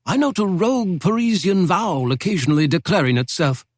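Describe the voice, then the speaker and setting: A man reads as a chatty older English professor, witty and exact, his voice lifting into a fairly high register and leaping about with animated inflection. The sound is close and dry, with no room around it. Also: a little fast, dry recording. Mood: genial. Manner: lively, playful, precise diction. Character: genial older male Oxford professor, witty and talkative.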